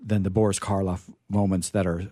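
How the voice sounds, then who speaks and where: A man hosting a radio theatre show, chatting casually in a halting, rambling, hesitant way. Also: low-pitched, level tone, warm tone, no echo, clean studio sound.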